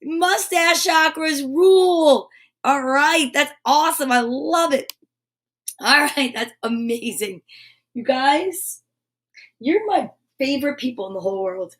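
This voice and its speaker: A woman with a high voice hosts a live show for children. She talks straight to her viewers in an upbeat, chatty style. Her delivery is exuberant, emphatic and encouraging. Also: expressive, slow pace, a bit bright. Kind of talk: upbeat live chat to viewers, reading picture books aloud.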